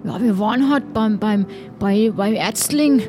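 A woman's voice: medium pitch, a little slow, over music, lightly acted. A woman playing a chatty, loyal, naive male pirate in an improvised adventure, rambling along colloquially and hesitantly. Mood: chatty, cheerful.

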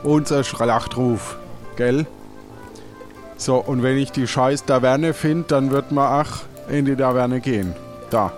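A man's voice: mid-range voice, slow pace. A man improvising casual comic adventure dialogue in a colloquial, bossy way. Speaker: player in an improvised audio play. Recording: music behind the voice.

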